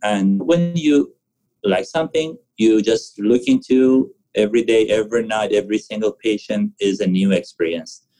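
A man in his forties from Iran, a physician answering interview questions in halting, with frequent restarts. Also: on the low side, mellow, rough recording. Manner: halting, restarts often, non-native phrasing. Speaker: physician guest.

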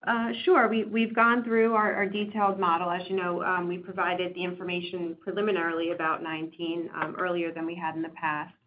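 A woman's voice: lowish voice, flat delivery, a little fast, hardly any pauses. A woman speaking as a company executive, answering earnings call questions with careful, hesitant corporate phrasing. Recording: tinny phone line, faint background noise.

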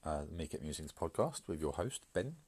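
A man hosting his own podcast, talking through an opinion piece in a measured, hesitant way with frequent fillers and restarts, sounding congested and bunged up from hay fever. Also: a bass voice, big pitch swings, darkish tone, husky, dry recording. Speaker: podcast host. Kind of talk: solo podcast commentary.

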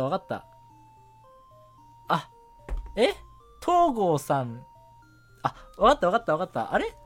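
A young man hosting a casual solo radio show, talking in a halting way as he thinks aloud. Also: on the high side. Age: young adult. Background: background music.

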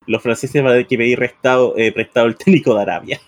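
A man with a Chilean accent bantering about a live football match. His voice sits at an ordinary male pitch, and the recording is close and dry. He teases and blows things up for comic effect. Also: fast, no room sound. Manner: jokingly exaggerated, teasing. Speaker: football commentator on a group broadcast.

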